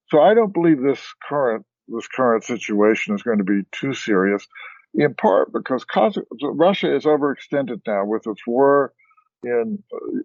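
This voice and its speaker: An older man, a former ambassador, speaking as a guest on a policy panel in a measured way, pausing often with "uh". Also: medium pitch, darkish tone, no room sound, dull audio. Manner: measured, many "uh" pauses. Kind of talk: expert panel discussion.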